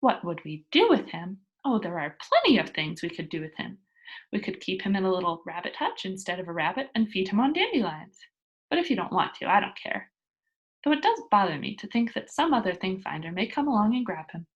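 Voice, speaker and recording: A woman's voice: mid-range voice, very animated, brisk, highs cut off, close to an everyday voice. A woman voicing a bold, cheerful young girl adventurer from a children's storybook, with a breezy, boastful manner. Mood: confident. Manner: breezy, boastful, matter-of-fact. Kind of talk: children's storybook character read aloud.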